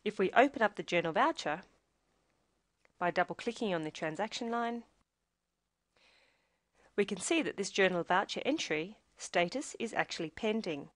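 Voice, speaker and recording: A woman reading a scripted software tutorial, explaining each step in a measured, instructional way. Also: mid-range voice, lively intonation, no room sound.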